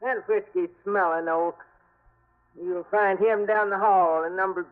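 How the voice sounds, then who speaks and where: A woman's voice: medium pitch, steady tone, measured pace, lightly acted. A woman playing a nosy, brusque rooming house manager in 1950s radio drama, suspicious and chatty. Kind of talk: 1950s radio character acting. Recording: vintage narrow-band radio.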